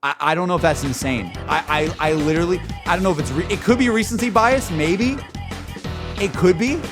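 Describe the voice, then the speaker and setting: A man's voice: on the high side, brisk. Effusive, slangy man over background music. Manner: effusive, slangy, repeats and emphasizes phrases. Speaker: streamer and video host. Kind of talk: casual live reaction chat to game music. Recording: music playing behind the voice. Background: video game battle music.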